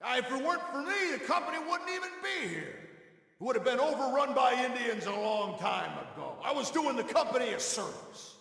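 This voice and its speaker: An actor playing a sly, cynical American outlaw in a game cutscene, with a gravelly, drawling, taunting voice. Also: male voice, extremely high pitch, very animated, brisk, a bit bright, exaggerated voice. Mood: defiant, spiteful. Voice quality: gravelly, creaky. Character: sly, cynical outlaw ex-sheriff of the Old West. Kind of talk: video game cutscene acting. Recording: echoey, reverberant sound with music behind.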